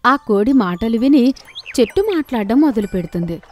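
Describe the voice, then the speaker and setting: A woman's voice: a little high, lively intonation, moderate pace. Woman narrating children's tales over music. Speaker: storyteller, narrator.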